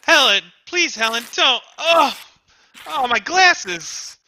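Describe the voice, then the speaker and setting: A man's voice: very high-pitched, lively intonation, slow pace, sharp tone, full character voice. A man playing a timid, bookish middle-aged husband in a live radio drama reading, hesitant and pleading. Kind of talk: live reading of an old-time radio script.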